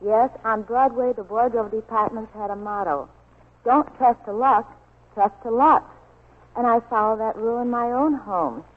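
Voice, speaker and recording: A woman's voice: fairly high pitch, fairly even intonation, normal speed. A young woman as an interview guest giving a scripted testimonial on 1940s radio. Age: young adult. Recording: tinny, lo-fi 1940s radio recording.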